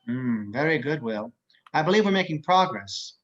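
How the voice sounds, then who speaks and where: A man's voice: mid-range voice, sing-song, moderate pace, fairly bright tone. A man playing an imprisoned psychiatrist in a table read, sly and courtly, with precise, politely mocking delivery. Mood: smooth, probing. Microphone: video call. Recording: dull video-call audio.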